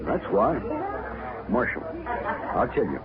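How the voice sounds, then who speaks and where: Surly hothead rancher, blunt rough talk, tinny old radio, saloon crowd in back. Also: male voice, mid-range voice, very animated, slow. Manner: blunt, rough backcountry grammar. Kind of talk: 1950s radio drama acting.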